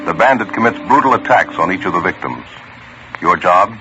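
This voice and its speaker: A man's voice: sits low, expressive, brisk, very noisy. A man working as a radio announcer with a firm, declarative 1950s broadcast delivery. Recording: dull old radio broadcast with music.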